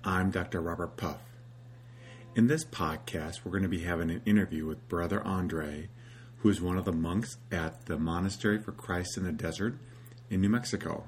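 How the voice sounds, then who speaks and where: A man's voice: deep voice, moderate pace, slight background noise. A man hosting an informal podcast interview, speaking in simple, conversational phrases.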